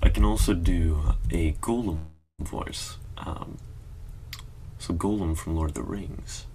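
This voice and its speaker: Deep-voiced man rambling on a live stream. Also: takes it slowly, vocal fry, mellow, a little hiss or hum, low-quality recording. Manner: rambling, chatty, self-deprecating. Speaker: live streamer playing a browser game. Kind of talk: casual live-stream commentary.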